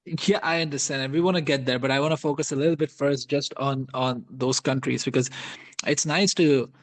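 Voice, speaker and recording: A man's voice: mid-range voice, steady tone, brisk. Chatty male podcast host from the Middle East. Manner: chatty, playful, laughs easily. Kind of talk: casual podcast interview. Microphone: smartphone.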